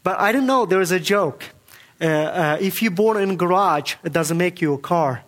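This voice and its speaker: A young man gives his church testimony, his voice a touch higher than most men's. He talks earnestly and haltingly, with many fillers and restarts as he searches for words. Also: a bit bright, a little hiss or hum. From Kazakhstan. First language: Kazakh. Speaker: guest speaker giving testimony.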